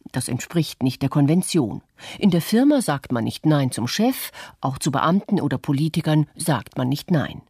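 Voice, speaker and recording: A woman reading the part of an earnest, resolute citizen in a scripted radio documentary, with a husky voice. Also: deep voice, mellow, no echo, clean studio sound. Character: earnest, resolute citizen critical of nuclear power. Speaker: reader of a witness statement.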